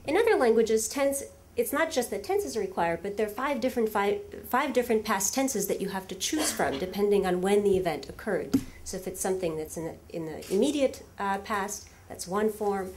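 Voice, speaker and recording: A woman whose first language is Russian gives a lively academic lecture from a lectern in a large hall, with frequent fillers and rhetorical questions to the audience. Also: medium pitch, fairly quick, a little hiss or hum.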